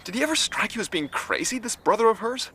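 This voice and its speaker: An actor playing a bold, hot-tempered young baronet in a radio drama, forthright and impulsive. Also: male voice, high-pitched, animated, fast, sharp tone, slight background noise. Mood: exasperated.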